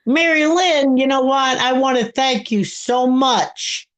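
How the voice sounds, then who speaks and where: A woman's voice: high-pitched, unhurried. A woman in her seventies from Brooklyn with a Brooklyn accent, talking bluntly and heatedly as a guest on a live stream. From Brooklyn, New York. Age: 70s. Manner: blunt, heated, repeats herself. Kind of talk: casual chat.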